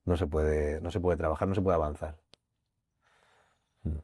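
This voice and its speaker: A man's voice: a bass voice, steady tone, moderate pace, mellow, breathy edge, no room sound, studio quality. A man with a Murcian Spanish accent, a voice teacher and actor, explaining his craft thoughtfully in a podcast interview and repeating his key phrases. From Murcia, Spain.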